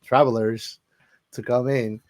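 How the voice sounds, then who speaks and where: A man with an average-pitched voice chats as a podcast co-host in a very dry recording. His talk is loose and informal, full of buddy-like asides. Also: fairly even intonation, unhurried, no echo. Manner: informal, often ends phrases with "man". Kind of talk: casual film podcast chat.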